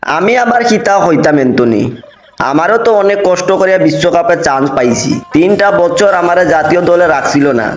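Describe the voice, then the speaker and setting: A man voicing an easygoing adult male cartoon footballer, speaking Bengali with a Sylheti accent in a folksy, drawn-out way. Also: high-pitched, lively intonation, roomy sound, faint music behind. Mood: consoling. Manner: folksy, drawn-out dialect speech. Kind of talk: cartoon voice acting.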